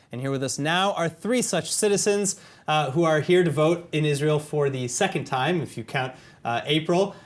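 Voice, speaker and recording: A man's voice: on the high side, dry recording. A man anchoring a news program, reading scripted political copy and then interviewing guests in the studio. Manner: brisk, reads scripted copy then chats loosely with guests.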